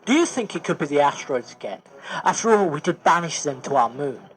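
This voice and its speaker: A man's voice: on the high side, big pitch swings, fairly quick, low-quality recording. A man voicing a restless, discontented young prince in a science fiction audio story, stubborn and questioning in manner. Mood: urgent.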